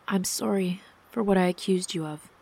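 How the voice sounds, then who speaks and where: A woman's voice: on the low side, normal speed, creaky, darkish tone. A woman voicing an upset, jealous young wife in a storybook, emotional and defensive. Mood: apologetic, subdued. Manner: pleading, emotional.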